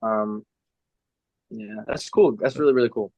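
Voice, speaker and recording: A man's voice: medium pitch, big pitch swings, a little slow, gravelly, darkish tone, no room sound, lo-fi sound. A man of nineteen talking casually and informally as a racing driver being interviewed, with some slang. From United States.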